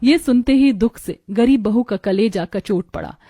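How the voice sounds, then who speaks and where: A woman's voice: a little high, moderate pace, dark tone, dry recording. A woman reading scripted narration for a story.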